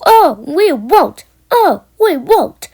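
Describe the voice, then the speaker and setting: A woman's voice: sits high, expressive, normal speed, pitched above the performer's own voice. A woman voicing rude, taunting railway trucks from a children's storybook, jeering in repetitive chants. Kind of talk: children's storybook character reading.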